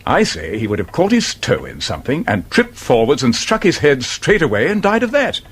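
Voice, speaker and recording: An actor playing a sceptical, level-headed friend of a sleuth in a radio drama, husky, with an English accent and a dry, reasoning manner. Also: male voice, a little high, wildly swooping pitch, moderate pace, fairly bright tone, full character voice. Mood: sceptical, mildly exasperated. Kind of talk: British radio drama acting.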